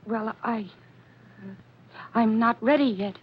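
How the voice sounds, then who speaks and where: High-voiced, gentle young woman. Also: slow, a little hiss or hum. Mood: nervous, placating. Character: kind young woman, gentle and anxious daughter. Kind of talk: 1940s radio drama acting. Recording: muffled old radio recording, organ music behind.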